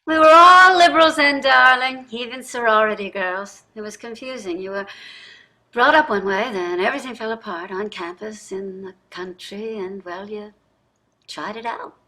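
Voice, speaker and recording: A woman acting a rich older wife in a stage drama. The voice is bright and forceful at an average pitch. She is wry and emphatic, quick to defend herself, restless underneath. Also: lightly acted, low-quality recording. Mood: defensive. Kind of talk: staged play reading.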